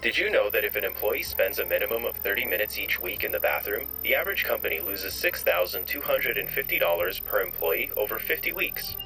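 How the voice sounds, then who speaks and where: A man's voice: fairly low pitch, lively intonation, a little fast, gravelly, few pauses, sharp tone, soft background music, heavily acted, low-quality recording. A man playing a literal-minded AI robot coworker in an audio drama, speaking in formal, stilted phrases with polite menace. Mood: literal, puzzled. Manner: formal, stilted, uncontracted speech.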